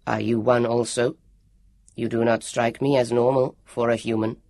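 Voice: mid-range voice, little pitch movement, moderate pace, darkish tone, no room sound, studio quality, voice pushed down, lightly acted. A storybook narrator voicing a proud male android who speaks in a formal, precise and polite way.

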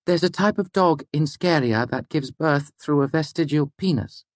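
Audiobook role: pedantic young woman student, done by a man, lifted pitch, terse and stubborn. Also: moderate pace, no echo, lightly acted. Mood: irritated. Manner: muttering, terse. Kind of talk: audiobook character dialogue.

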